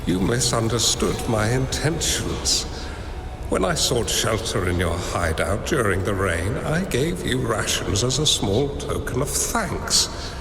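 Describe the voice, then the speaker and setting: Old hermit sage, low lilting voice, slow and measured, echoey with music. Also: male voice, big pitch swings, noisy background. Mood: gentle. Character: old wise male hermit master, calm and kindly. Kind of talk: game dialogue. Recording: roomy reverb with music.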